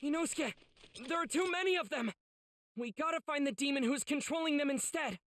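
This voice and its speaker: An actor voicing an earnest, kind-hearted teenage boy swordsman in an anime game, youthful and urgent, with a slightly husky voice. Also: high-pitched, a little fast, a bit bright, slight background noise. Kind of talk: anime game cutscene acting.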